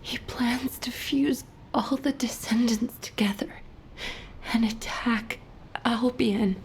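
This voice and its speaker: A woman playing a weakened, loyal captive soldier in a video game, in breathy, halting, whispered phrases. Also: a little high, fairly even intonation, a little mellow, a little hiss or hum. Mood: weak, urgent. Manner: halting, faint, broken phrases. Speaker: video game character actor. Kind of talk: dramatic video game cutscene acting.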